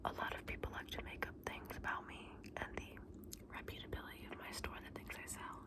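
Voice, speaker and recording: A woman playing a friendly, candid dragon egg seller in a whispered, soft-spoken ASMR roleplay, explaining things with small hesitations. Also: fast talker, natural voice. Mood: quietly defensive.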